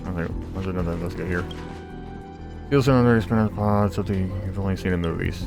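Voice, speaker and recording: Male let's player, low register, informal and blunt asides, prominent game soundtrack underneath. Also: quick pace, creaky. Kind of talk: casual gameplay commentary. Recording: loud game music behind voice. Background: video game music.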